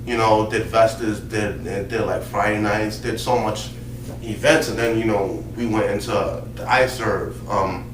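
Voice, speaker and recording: A Haitian-born man, a pastor and host telling his life story in a relaxed, casual chat full of fillers. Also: lowish voice, a little slow. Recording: roomy sound from a distant mic with faint noise.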